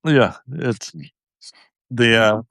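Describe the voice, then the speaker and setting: A man's voice: medium pitch, drawn-out words, gravelly, a bit bright, no echo, studio quality. A man guesting on a podcast, chatting casually in a self-deprecating way and laughing often at himself. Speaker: podcast guest, game designer. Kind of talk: casual interview chat.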